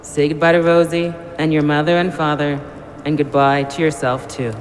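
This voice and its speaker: A man's voice: fairly high pitch, level tone, moderate pace, close to an everyday voice. An actor playing the luring ghost of a dead man in an audio drama, persuasive and soothing yet menacing. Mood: coaxing, menacing. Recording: long reverb, noisy ambience.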